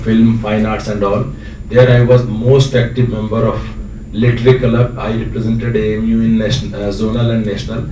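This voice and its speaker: A man's voice: medium pitch, dark tone. A man from Bihar with an Indian accent, a non-native English speaker, asking a long, earnest question from the floor with many hesitations. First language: local dialect of Bihar. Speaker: audience member asking a question. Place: lecture hall. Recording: echoey hall, distant mic, faint noise.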